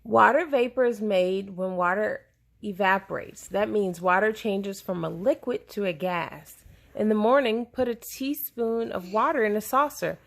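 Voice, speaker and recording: A woman reads a children's science book aloud at a slow, deliberate pace. Her voice has a noticeable creak and fry at its edges. The phrasing is simple, suited to a young listener. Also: mid-range voice.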